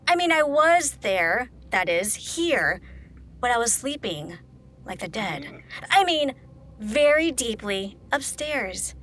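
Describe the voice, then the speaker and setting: Prim sly spinster heiress, high voice swooping all over, audio drama, kinda menacing. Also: female voice, very animated, a bit bright, a little hiss or hum, heavily acted. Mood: defensive. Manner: haughty, ornate, deliberate phrasing. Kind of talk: theatrical audio play acting.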